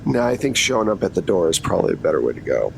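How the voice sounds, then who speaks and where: A man's voice: sits low, sing-song, rapid-fire, vocal fry, pitched below the performer's own voice, heavily acted. Man acting a businesslike female agent, noisy recording. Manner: businesslike, bargaining. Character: dealmaking female government agent, coolly in control.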